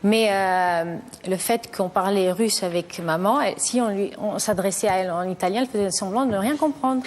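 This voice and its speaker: A woman's voice: medium pitch, normal speed, slight background noise. A woman in her thirties from Italy, a talk show guest who speaks earnestly and hesitantly, often restarting her sentences. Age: 30s.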